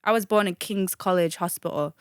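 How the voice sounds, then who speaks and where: Chatty woman, smooth voice. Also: on the low side, brisk, no echo, clean studio sound. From Manchester, England. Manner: animated, chatty, hesitant with fillers. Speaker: guest. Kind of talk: casual podcast interview.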